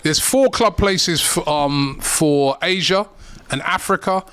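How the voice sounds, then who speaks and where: A man hosting a casual football chat, relaxed and full of fillers. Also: a little high, takes it slowly, smooth. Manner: relaxed, lots of fillers and restarts. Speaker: host.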